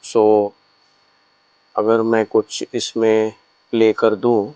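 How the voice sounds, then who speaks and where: A man's voice: on the low side, completely flat delivery, very slow, a little mellow, clear, resonant tone, no room sound, poor audio. A man gives a casual step-by-step product tutorial, explaining things and mixing in English phrases. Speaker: product reviewer and shop presenter.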